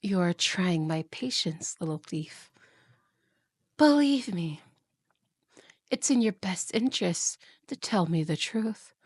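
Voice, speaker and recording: Slow-speaking, haughty evil queen played by a woman. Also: lowish voice, expressive, darkish tone, slightly rough, no room sound, heavily acted. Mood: cold menace. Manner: imperious, menacing, theatrical. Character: jealous, cruel evil queen stepmother, haughty and volatile. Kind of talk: fantasy ASMR audio roleplay.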